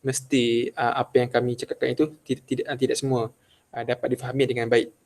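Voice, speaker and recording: Flat-voiced young man presenting to class. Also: medium pitch, fast. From Malaysia, Kelantan. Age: young adult. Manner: hesitant, many fillers and restarts. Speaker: student presenter.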